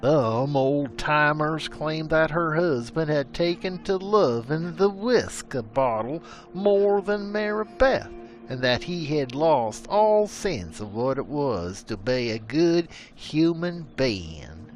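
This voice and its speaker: A man's voice: fairly high pitch, lively intonation, slow pace, soft background music. A man narrating a frontier legend as a scripted story, with rustic, old-timey storyteller phrasing.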